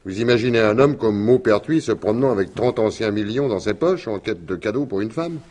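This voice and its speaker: A man's voice: fairly low pitch, animated, fairly quick, a little mellow. An actor playing a shrewd, wry and insistent police commissioner in a French detective radio drama, musing aloud and pressing his points. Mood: musing, probing.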